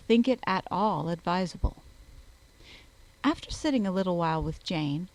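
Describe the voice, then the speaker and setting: A woman's voice: medium pitch, a little mellow, dry recording, rough recording. A woman reading a classic novel aloud as scripted audiobook narration.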